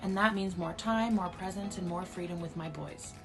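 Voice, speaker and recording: A woman's voice: lowish voice, moderate pace, music in the background. A woman from Alberta, a teacher and single mother, earnestly sharing her story. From Alberta, Canada. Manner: earnest, open delivery. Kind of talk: personal testimonial.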